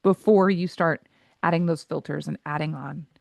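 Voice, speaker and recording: A woman's voice: sits low, steady tone, vocal fry, a little mellow, no echo, highs cut off. Dry-sounding woman, laughing easily. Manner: laughs easily, talks in quick asides. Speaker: voice actor and coach, interview guest. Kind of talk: candid conversational interview.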